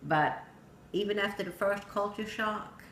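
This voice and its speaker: A middle-aged woman from Sweden with a Swedish accent, telling a personal story in plain storytelling with pauses and some non-native grammar. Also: medium pitch, fairly bright tone. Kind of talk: personal narrative speech. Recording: echoey room with faint noise.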